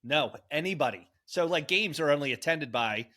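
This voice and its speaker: A man's voice: on the high side, animated, moderate pace, bright, forceful tone. Rambling man telling news on a podcast. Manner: rambling, many fillers and self-corrections. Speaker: podcast co-host. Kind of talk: casual chat.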